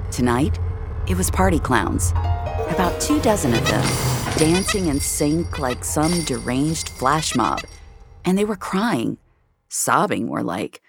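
Gravelly woman narrating dark fiction. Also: low-pitched, sing-song, unhurried, faint music behind. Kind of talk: dramatic scripted fiction narration.

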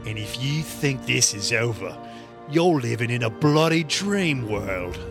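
A man's voice: medium pitch, expressive, unhurried, music in the background. A man voicing an indignant, insult-spitting vigilante from a comic book with a Northern English accent. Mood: enraged, defiant. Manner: indignant, insulting, shouted outbursts.

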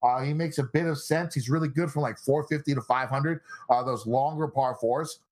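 A young man born in South Korea, a guest on a podcast, chatting casually with a relaxed, filler-heavy way of talking. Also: mid-range voice, moderate pace. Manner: relaxed, laid-back banter with frequent fillers. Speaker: guest analyst.

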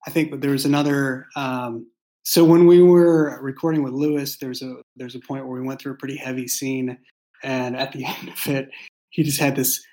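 Thoughtful, halting man chatting casually. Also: mid-range voice, fairly even intonation, warm tone, low-quality recording. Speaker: creator and writer of an audio drama, as guest. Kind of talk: casual behind-the-scenes chat.

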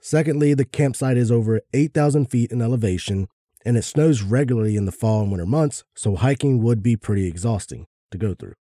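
Very mellow, dark-voiced man. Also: medium pitch, a little fast, dry recording, studio quality. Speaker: podcast co-host. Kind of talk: casual chat reading notes aloud.

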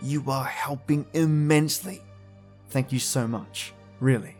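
A man's voice: medium pitch, steady tone, measured pace, a little mellow, soft background music. A man hosting a podcast, giving friendly, chatty commentary to his listeners. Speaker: podcast host.

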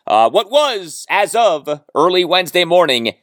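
Male podcast host, sports monologue, hugely varied pitch, emphatic dramatic phrasing, dry close sound. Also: big pitch swings, unhurried, a bit bright, no echo. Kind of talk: solo sports talk monologue.

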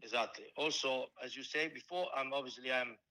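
Bright-voiced Italian-accented man. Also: mid-range voice, low-quality recording. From Italy. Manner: halting, many restarts and fillers. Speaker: football coach and scout. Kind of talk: casual interview answers.

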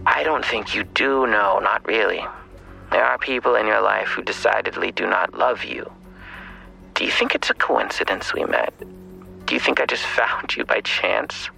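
A menacing male villain in an audio drama. His low voice is heavily gravelled and often drops to a breathy half-whisper. He taunts slowly and deliberately, with a very sharp edge. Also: exaggerated voice, poor audio.

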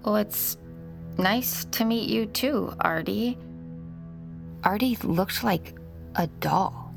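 A woman's voice: medium pitch, slow pace, vocal fry. A woman playing a troubled mother, hesitantly recounting a haunting memory in an audio drama. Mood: haunted, reflective. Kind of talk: confessional first-person narration in audio fiction. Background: music.

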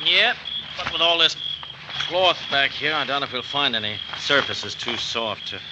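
An actor playing a calm, courteous FBI agent with clipped questions in 1940s radio drama style. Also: male voice, medium pitch, unhurried, natural voice. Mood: businesslike, intent. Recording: dull, noisy old radio recording.

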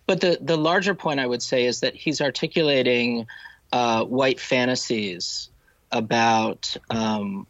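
A man giving an analytical take on literature as a podcast guest, deliberate and given to emphatic repetition. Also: mid-range voice, fairly bright tone, no echo. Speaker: podcast guest, novelist and critic. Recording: dull, dry close audio.

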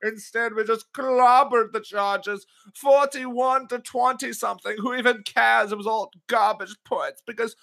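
A man's voice: extremely high pitch, steady tone, a little slow, gravelly, few pauses, fairly bright tone. A man hosting a casual sports podcast, joking around and slipping in and out of put-on accents. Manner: jokey, puts on shifting accents. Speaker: podcast host.

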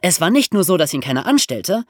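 Animated podcast storyteller with a dry, close sound. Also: mid-range voice, brisk, no echo. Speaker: podcast presenter. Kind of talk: explanatory storytelling in a history podcast.

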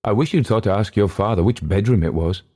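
A man voicing a nervous, indignant gentleman who sounds uneasy and huffy, in a storybook reading. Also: lowish voice, fast talker, voice pushed up, natural voice.

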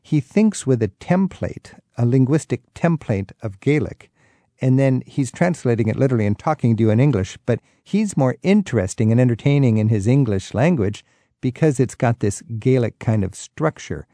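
A man's voice: medium pitch, lively intonation, moderate pace, dark tone, no echo, studio quality. A man hosting a radio travel show, reading a scripted intro and then asking a guest questions in a curious, chatty way.

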